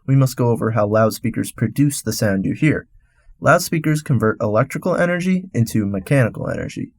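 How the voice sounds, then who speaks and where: A man's voice: medium pitch, dry recording, clean studio sound. A man hosting a radio segment, reading a scripted explainer in an instructional, step-by-step way. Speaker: radio host.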